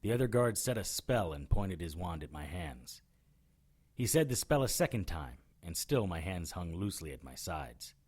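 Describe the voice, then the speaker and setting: A man playing a hard-boiled occult detective in an audio drama, narrating and talking in a dry, sardonic way with a husky voice. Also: low-pitched, sing-song, normal speed, a little mellow, exaggerated voice. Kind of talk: first-person noir narration and dialogue in audio drama.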